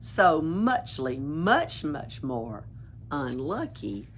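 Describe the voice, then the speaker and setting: A woman reading a children's storybook aloud in an expressive, playful way, adding her own asides. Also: low-pitched, very slow, a little hiss or hum. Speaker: story reader at a library. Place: library.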